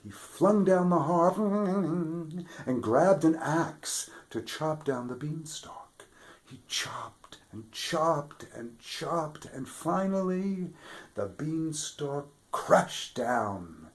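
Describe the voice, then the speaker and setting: Guy reading a kids' fairy tale, slow and unhurried, goes whispery for the spooky bits. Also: a little high. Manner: expressive, drops to whispers for suspense. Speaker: storybook reader, theatre regular. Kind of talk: children's story read-aloud.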